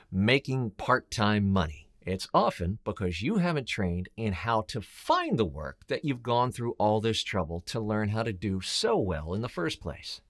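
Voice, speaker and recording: A middle-aged man giving scripted instructional advice as a voiceover coach, emphatic, with rhetorical questions and deliberate pauses. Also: on the low side. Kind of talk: scripted instructional talk to camera.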